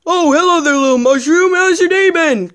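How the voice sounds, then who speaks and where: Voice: extremely high pitch, moderate pace, a bit bright, voice pushed up. A young performer voicing a friendly, dutiful police officer with a courteous, slightly pompous manner.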